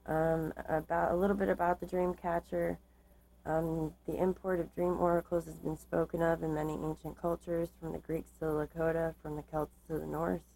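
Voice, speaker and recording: Low, flat-voiced woman with a tiring, creaky voice. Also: normal speed, low-quality recording. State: slightly losing her voice. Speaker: tarot card reader. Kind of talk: tarot reading, part read aloud from cards, part informal explanation.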